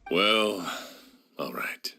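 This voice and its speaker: An actor playing a weary, fair-minded sheriff in a video game, with a measured, official manner. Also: male voice, deep voice, animated, gravelly, pushed and bright, husky, exaggerated voice. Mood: relenting. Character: weary, fair-minded male sheriff, gruff but reasonable.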